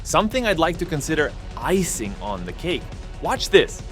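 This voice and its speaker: A man's voice: fairly high pitch, very animated, normal speed, music in the background. A man voicing an upbeat, friendly inventor in a comic dub, chummy and slangy. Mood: showy.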